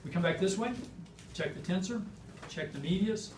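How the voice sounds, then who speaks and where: Echoey, higher-pitched man teaching clinically. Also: moderate pace. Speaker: physician demonstrating hip exam. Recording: roomy, distant mic, noisy background.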